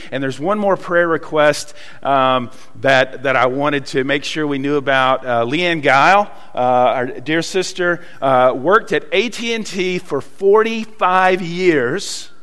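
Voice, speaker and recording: A man with a Southern US accent preaching a sermon, telling folksy stories with many pauses and fillers. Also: a little high, a bit bright, smooth, in a large hall. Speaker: pastor. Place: church hall.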